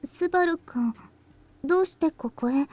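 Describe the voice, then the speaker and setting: A small, deadpan girl scientist from a Japanese visual novel. Her voice is very high and mellow. She speaks tersely and with some hesitation, in an understated way. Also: fairly quick. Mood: quiet, curious.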